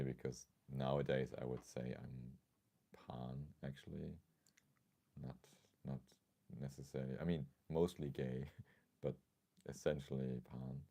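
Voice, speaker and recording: A man's voice: rumbling low, creaky, warm tone. A man with a slightly scratchy voice chatting casually as a livestream host, explaining things thoughtfully with many hedges.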